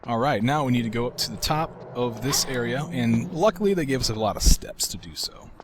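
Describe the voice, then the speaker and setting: A man walks viewers through a video game in a relaxed, conversational way. His voice sits at an ordinary middle pitch and has a soft, soothing quality. Game sounds are clearly audible behind him. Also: fairly quick, background noise. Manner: relaxed, soft-spoken, self-described soothing voice. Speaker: game walkthrough commentator. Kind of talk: casual gameplay commentary.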